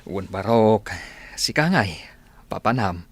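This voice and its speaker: A man plays an older village husband in an Ilocano radio play. His voice is somewhat low and recorded dry. He is plain-spoken and friendly, with an Ilocano accent. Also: expressive, takes it slowly, husky, no echo, exaggerated voice. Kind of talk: Ilocano radio comedy drama acting.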